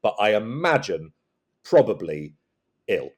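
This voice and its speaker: A man's voice: fairly low pitch, big pitch swings, a little slow. A man riffing casually as a comedy podcast guest, wry and a little hesitant.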